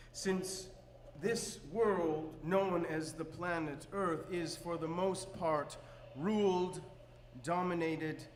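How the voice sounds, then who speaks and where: A man performs a calm, solemn revolutionary giving a spoken-word radio monologue in a story. His voice sits high for a man and moves in a very slow cadence. It rings with long hall reverb. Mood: calm, grave. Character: calm male revolutionary speaking slowly over the radio. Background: instrumental music.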